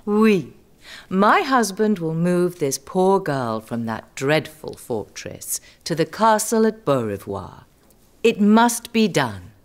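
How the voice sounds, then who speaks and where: Casting: a genteel, imperious noblewoman for audio drama; low pitch, lively, coaxing delivery. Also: slow. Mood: breezily insistent. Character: gracious, imperious noble duchess, wife of a duke.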